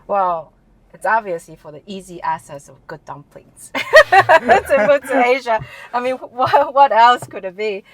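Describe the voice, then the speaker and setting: A middle-aged woman from Taiwan, answering interview questions in a relaxed way, often restarting sentences and joking. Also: on the high side, measured pace, poor audio. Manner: hesitant, restarts often, jokes and laughs. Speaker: guest, tech executive. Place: office in Shanghai.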